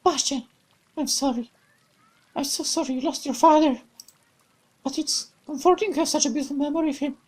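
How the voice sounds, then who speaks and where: A woman's voice: sits high, steady tone, brisk, long pauses, a little mellow, pitched above the performer's own voice, lightly acted. A woman voicing a bubbly, cheerful young woman companion in a visual novel, with a raised, playful, exclamatory voice. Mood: gentle.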